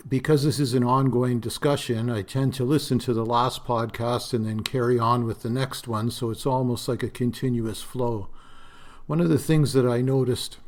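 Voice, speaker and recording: A man in his sixties hosting a solo podcast, talking in a rambling, reflective way with frequent fillers; his voice is slightly raspy and dry. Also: medium pitch. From Canada. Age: 60s. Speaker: podcast host.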